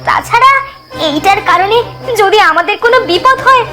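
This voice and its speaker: Very high-pitched fretful cartoon village mother played by a woman. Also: expressive, normal speed. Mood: anxious. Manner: fretful, nagging. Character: worried, scolding village mother and wife. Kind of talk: cartoon voice acting.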